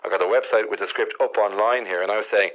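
A man's voice: lowish voice, fast talker. A tipsy man calling in and telling a rambling, chatty story. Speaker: caller. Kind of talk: casual chat over the phone. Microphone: telephone. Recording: phone line.

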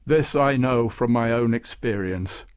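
A man's voice: medium pitch, a little slow, close to an everyday voice. A man voicing a blunt, argumentative saint and king in a storybook, matter-of-fact in manner. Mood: contradicting. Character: blunt, contrary male saint, a wise king. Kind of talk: storybook character voice.

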